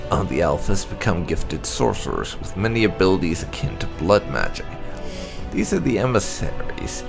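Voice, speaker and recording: Low-key creaky man reading fantasy lore. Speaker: gaming channel host. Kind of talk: scripted narration, reading lore entries aloud.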